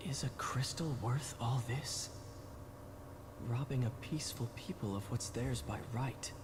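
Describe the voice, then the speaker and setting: An actor playing a brooding, guilt-ridden young knight in a video game, solemn and halting. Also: male voice, medium pitch, lightly acted. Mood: anguished reproach. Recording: noisy game ambience behind.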